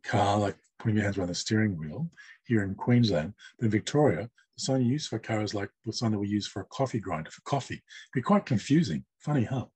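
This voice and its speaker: Husky low-voiced man. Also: expressive, a little mellow, no room sound. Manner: measured, list-like delivery with short pauses. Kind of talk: interview answers.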